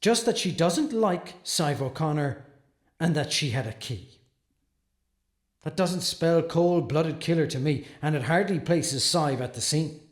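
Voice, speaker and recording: An actor playing an earnest, troubled defense attorney in a dramatic monologue, speaking deliberately with brooding pauses. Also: male voice, fairly high pitch, animated. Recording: echoey, roomy sound.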